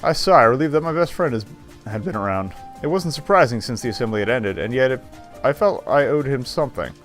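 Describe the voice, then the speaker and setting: A man's voice: mid-range voice, animated, fairly quick. A man streaming a game, reading visual novel narration aloud expressively with wry casual asides. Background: game music.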